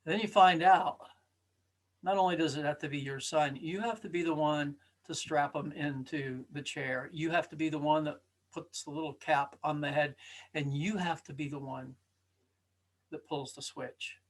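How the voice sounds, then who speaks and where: Male storyteller, high register. Earnest, dramatic delivery of a spoken parable, emphatic, playing out the dialogue. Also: fairly even intonation, moderate pace.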